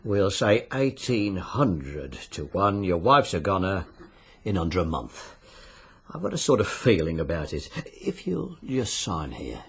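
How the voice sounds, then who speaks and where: Genial but sinister British fixer, low husky voice, swooping intonation, insinuating radio drama delivery. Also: male voice, big pitch swings, a little slow, exaggerated voice. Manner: insinuating, euphemistic, coolly businesslike. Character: sly, genial bookmaker-like fixer arranging deaths by wager.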